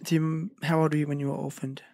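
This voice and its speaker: Woman host asking stop-start questions, lowish voice, dry close sound. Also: little pitch movement, mellow, no echo. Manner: halting questions with repeated words. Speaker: podcast host. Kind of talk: casual conversational interview.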